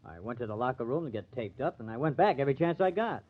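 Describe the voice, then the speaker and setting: A slangy, defensive male ballplayer, played by an actor in a 1940s radio drama. His delivery is very animated in pitch, heard through a thin, phone-like old recording. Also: quick pace, natural voice. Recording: tinny, narrow-band old radio recording.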